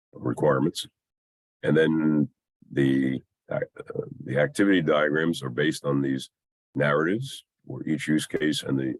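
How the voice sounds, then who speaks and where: A man with an extremely low, husky voice gives a technical slide talk. His throat sounds scratchy, as if he is a little unwell. He explains things haltingly, pausing often to find the next term, and the sound is dry and close. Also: dry recording. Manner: halting, hesitant delivery with long pauses. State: scratchy voice from a mild illness. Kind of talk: slide presentation, explanatory lecture.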